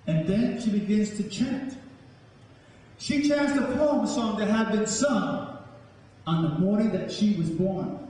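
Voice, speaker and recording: High-voiced New man in echoey hall. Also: a little mellow. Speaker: poet, guest performer. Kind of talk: live spoken-word performance with informal remarks to an audience. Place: campus event hall, live audience. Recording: echoey hall, distant mic, faint noise.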